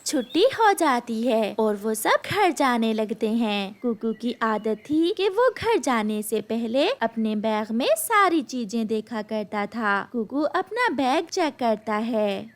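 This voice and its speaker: A woman's voice: a little high, very animated, slow, hardly any pauses. A woman narrating a scripted children's story as the storyteller.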